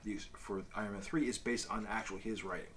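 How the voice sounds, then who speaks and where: A man's voice: fairly low pitch, steady tone, brisk, fairly bright tone, a little hiss or hum. A man co-hosting a casual review chat, explaining things in a loosely worded way with asides. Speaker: co-host of a comic review show.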